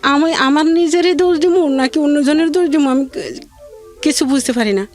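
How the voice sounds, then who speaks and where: A woman telling her life story in a plaintive, rambling way with halting repeats. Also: sits high, a little mellow, faint music behind. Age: adult mother of two. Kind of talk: personal hardship testimony in conversation.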